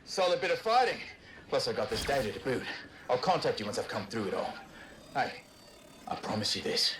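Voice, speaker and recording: A man's voice: medium pitch, very animated, a little fast, background noise, natural voice. A man voicing a cocky, wisecracking rogue fighter in a video game, with a jaunty, folksy, teasing way of talking. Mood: determined, warm. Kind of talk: video game character dialogue. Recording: voice under loud game music. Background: loud game music.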